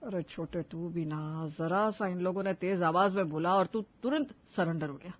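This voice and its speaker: Casting: actor as domineering elder brother, blunt and chiding, animated family drama, slightly muffled recording. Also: mid-range voice, moderate pace, close to an everyday voice. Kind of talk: animated family story acting.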